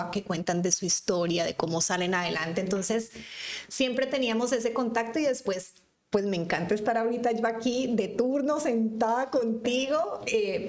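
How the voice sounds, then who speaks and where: Woman, fifties, Colombian accent from Cali, podcast guest, chatty storyteller, restarts often, mid-pitched. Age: 50s. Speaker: guest, physician. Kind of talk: casual conversational interview.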